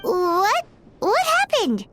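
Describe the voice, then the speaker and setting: A woman voicing a tiny, excitable fairy companion in a video game, squeaky and childlike, exclaiming in wide-eyed wonder. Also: drawn-out words, a little hiss or hum, heavily acted. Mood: amazed, curious.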